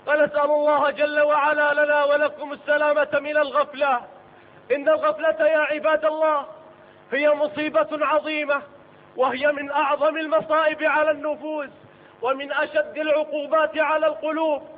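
A man's voice: very high-pitched, monotone, a little fast. A man delivering a solemn, declamatory Friday sermon as a preacher in a mosque. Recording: tinny phone-like sound in a reverberant hall.

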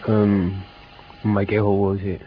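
A man with a Hong Kong Cantonese accent playing a hesitant, quietly dissatisfied customer in a radio drama. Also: lowish voice, monotone, moderate pace, slight background noise, lightly acted. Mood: hesitant, unsatisfied. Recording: muffled 1990s radio drama.

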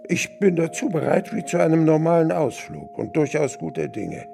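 A man playing a pensive, ageing narrator in a radio play, gravelly and husky, reflective and understated. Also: fairly high pitch, big pitch swings, normal speed, mellow, faint music behind, full character voice. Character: pensive, ageing man musing on dreams and death.

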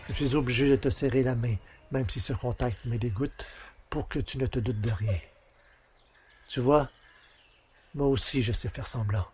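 Male actor as bitter village carpenter, vengeful, brooding, menacing monologue, middle pitch, audio drama, faint background noise. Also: steady tone, moderate pace, long pauses, darkish tone, close to an everyday voice. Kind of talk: French audio fiction monologue.

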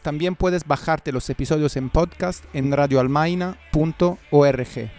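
A man's voice: on the high side, measured pace, a little mellow. A middle-aged man presenting a radio programme as its host in a scripted style.

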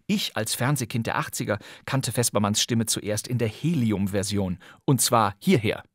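A middle-aged man hosting a podcast with scripted, playful narration, wry and given to theatrical emphasis and pauses. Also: lowish voice, noisy background. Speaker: podcast host. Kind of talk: scripted, playful presentation on radio drama history.

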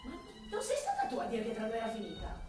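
Un poliziotto vanitoso e seduttore, molto riverbero. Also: female voice, a little high, wildly swooping pitch, fast talker, a little mellow, recorded from a distance. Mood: evasive, harried. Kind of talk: radio drama, one-sided phone call. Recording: very echoey room, off the mic, music behind.

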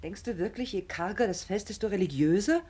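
A woman's voice: mid-range voice, animated, brisk, darkish tone, breathy edge. An actress playing a firm, reproachful middle-aged mother who holds to tradition, in a radio play. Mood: doubtful, firm. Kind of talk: late 1960s radio play acting.

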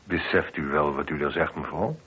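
Composed inspector, radio play, very low register, measured formal questioning, dull old broadcast sound. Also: male voice, fairly bright tone, husky, full character voice. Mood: formal, probing. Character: composed, probing male police inspector. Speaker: voice actor. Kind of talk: Dutch radio play acting.

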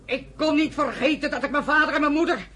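An actress playing a fervent, embittered pioneer rallying a crowd in a radio play, declaiming with rhetorical questions. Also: female voice, sits high, fast, natural voice. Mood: bitter, impassioned. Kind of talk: impassioned radio play oratory.

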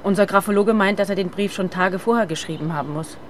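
An actress playing a composed, businesslike investigator in a radio drama, calm and to the point. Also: female voice, mid-range voice, fast, slight background noise, close to an everyday voice.

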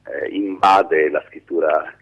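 A man's voice: very deep voice, fairly even intonation, takes it slowly, fairly bright tone. A man giving an informal radio phone interview as a writing teacher, rambling and often interrupting himself as he explains. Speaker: screenwriting teacher being interviewed.

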